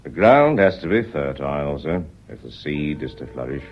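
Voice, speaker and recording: A man playing a shrewd, courteous British police inspector in a radio drama, speaking in a measured, deferential, explanatory way. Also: very deep voice, sing-song, slight background noise. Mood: polite, reflective. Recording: dull, lo-fi old radio recording.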